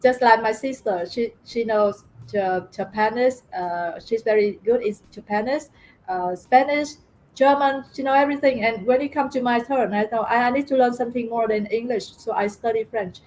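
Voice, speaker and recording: Vietnamese-accented woman, halting and soft-spoken. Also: fairly high pitch, slight background noise, poor audio. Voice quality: halting, many fillers and repeats. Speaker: guest. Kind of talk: interview, telling her story.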